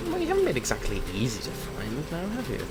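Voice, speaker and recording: An actor playing a brash, teasing monster hunter and comic book nerd in an audio drama. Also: male voice, fairly high pitch, wildly swooping pitch, quick pace, background noise. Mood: wry, teasing.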